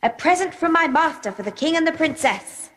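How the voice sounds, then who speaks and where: A woman playing a clever, confident talking cat in a children's cartoon, brisk and reassuring with courtly manners. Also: high voice, animated, fairly bright tone. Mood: courtly, polite. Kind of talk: dubbed children's cartoon acting.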